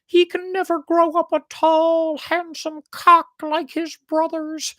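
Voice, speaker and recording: A very high, plaintive storybook mother hen. She is anxious and doting, fretting over her chick in a lilting lament, recorded close and dry. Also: little pitch movement, slow, a bit bright, dry recording, full character voice. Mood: anxious, pitying.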